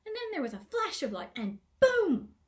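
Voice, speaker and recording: A woman's voice: very high-pitched, wildly swooping pitch, moderate pace, pitched above the performer's own voice, heavily acted. A woman voicing a tiny, disgruntled female garden gnome who scolds in a folksy, indignant way for a storybook.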